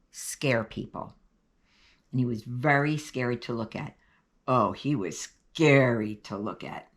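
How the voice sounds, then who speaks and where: Woman as a spooky little boy storyteller, lowered pitch. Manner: drawn-out, suspenseful storytelling.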